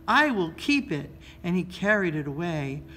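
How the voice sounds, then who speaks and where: A woman reads a children's story aloud in a measured, expressive storytelling style, pausing between phrases. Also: fairly low pitch, low-quality recording. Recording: noticeable background noise.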